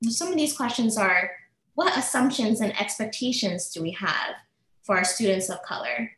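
A woman, an assistant professor, giving earnest, measured prepared remarks as a panelist on a video call webinar. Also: medium pitch, a bit bright, echoey room, low-quality recording. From United States.

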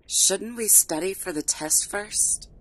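A woman's voice: mid-range voice, slow, fairly bright tone, poor audio. A woman playing a shy, cautious tomboy college student in an audio drama, hesitant and worried.

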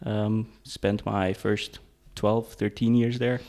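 A man, talking as a podcast guest. His low voice has a gravelly edge. He speaks haltingly, restarting and searching for words. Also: little pitch movement, a little mellow. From Hungary. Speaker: guest, tech co-founder. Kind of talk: casual interview chat.

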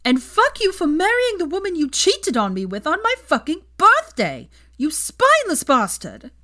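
A woman's voice: very high-pitched, huge pitch swings, moderate pace, bright, forceful tone, pitched above the performer's own voice, heavily acted. A woman voicing a fierce, cutting young woman in a fury, in a fan fiction audiobook. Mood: explosive fury.

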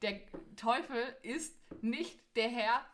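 A young adult woman co-hosting a podcast in casual, expressive and giggly conversation. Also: fairly high pitch, slow pace, a little hiss or hum. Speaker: podcast co-host.

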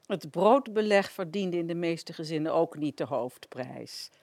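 A woman's voice: on the low side, expressive, measured pace, a little mellow, no room sound, clean studio sound. A woman giving scripted narration in a radio play, introducing people and their stories.

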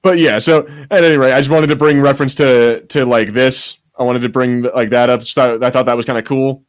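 A man's voice: medium pitch, steady tone, fairly quick. Rambling man chatting on a podcast. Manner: rambling, searching for words, breaking off mid-thought. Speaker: podcast co-host. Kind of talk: casual unscripted chat.

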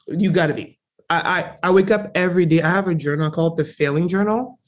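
A woman's voice: lowish voice, fast. Emphatic young woman from Congo. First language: French. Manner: emphatic, animated, frequently stresses words. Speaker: guest entrepreneur. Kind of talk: casual interview storytelling.

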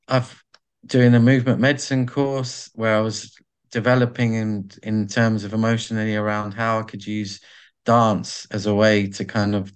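A man being interviewed, talking in a reflective, halting way with many restarts as he searches for words. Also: lowish voice. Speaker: podcast guest, artist and carer.

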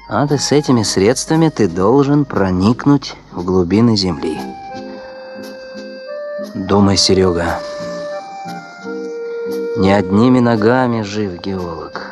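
An actor playing an earnest young geologist thinking aloud in a radio drama monologue, musing and unhurried. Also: male voice, fairly low pitch, a little mellow. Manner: musing, self-addressed, unhurried.

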